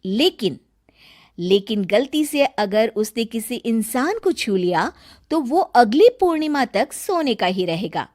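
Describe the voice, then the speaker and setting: A woman's voice: on the high side, big pitch swings, unhurried, darkish tone. A woman narrating a scripted moral story for a cartoon, as a storyteller.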